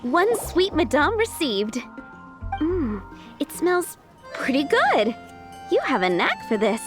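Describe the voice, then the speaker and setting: Earnest, high-voiced restaurant cook. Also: female voice, huge pitch swings, slow, music in the background, full character voice. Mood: friendly, businesslike. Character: harried young female restaurant cook, earnest and friendly. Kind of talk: video game character dialogue.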